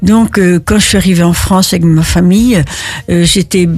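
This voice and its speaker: An older retired woman from Italy, answering interview questions in a halting way, full of restarts. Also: on the low side, steady tone, a little mellow, slightly rough. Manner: halting, with many restarts and fillers. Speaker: interviewee, neighborhood volunteer.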